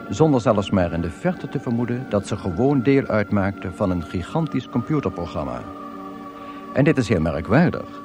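An actor playing a wry narrator of an absurd science-fiction radio comedy, telling it in a measured deadpan. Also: male voice, fairly low pitch. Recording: radio play with music behind.

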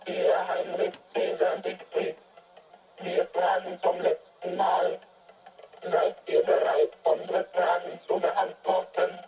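A woman playing an insistent unknown intelligence in a radio play, speaking formally as a collective in a monotone over radio. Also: lowish voice, takes it slowly, pauses often, phone-quality sound, soft background music. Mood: cold, insistent. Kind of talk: German radio play acting.

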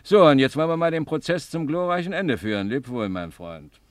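A man's voice: fairly high pitch, lively intonation, a little fast, dry recording, close to an everyday voice. An actor playing a pushy, scheming lawyer in a radio play, patronizing, bossy and exclamatory as he cajoles. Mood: cajoling. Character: pushy, scheming male advocate, patronizing and grandiose. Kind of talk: German radio play acting.

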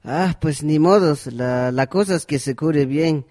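A man's voice: fairly high pitch, unhurried, darkish tone, lightly acted. Man playing humble Guatemalan village father. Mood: worried, polite. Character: humble, courteous worried father, rural villager. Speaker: actor in community radio drama. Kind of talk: amateur radio drama acting.

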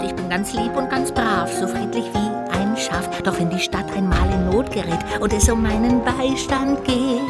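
A woman's voice: medium pitch, natural voice. A woman voicing a small, mischievous castle ghost in a radio play, indignant and fond of ghostly hooting.

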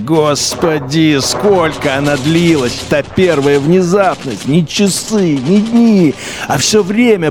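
An actor playing an anguished, defeated young army lieutenant, brooding in halting phrases in a radio play. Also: male voice, fairly high pitch. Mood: anguished, disbelieving. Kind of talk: radio play inner monologue.

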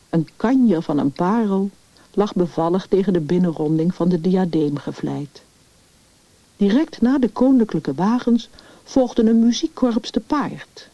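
A woman's voice: lowish voice, dark tone, no echo. A woman narrating a scripted literary story as its narrator. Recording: dull, lo-fi radio recording.

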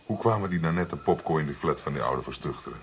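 An actor playing a younger police detective in a radio drama, eager, inquisitive and informal in manner. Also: male voice, a bass voice, animated, fast talker. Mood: puzzled.

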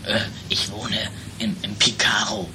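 A woman playing a shady, jittery male tramp and jailbreaker with a hoarse, whispery voice in an improvised audio drama. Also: rumbling low, flat delivery, a little slow. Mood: furtive. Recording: noisy home-made recording.

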